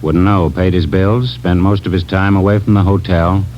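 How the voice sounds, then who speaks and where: A man plays an evasive hotel manager who talks in curt, clipped scraps. His voice sits very low. The muffled old radio recording has a faint hiss behind it. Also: fairly even intonation, normal speed, lightly acted. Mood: grudging. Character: tight-lipped grudging older male hotel manager. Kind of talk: 1950s radio crime drama.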